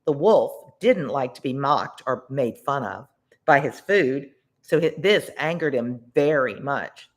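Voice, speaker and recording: A read-along storyteller reading a children's tale expressively and deliberately, pausing to explain words simply like a tutor. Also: mid-range voice, lively intonation.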